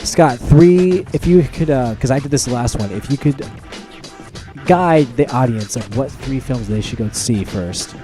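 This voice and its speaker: A man's voice: medium pitch, lively intonation, a little slow, warm tone. Casual male podcast host over music.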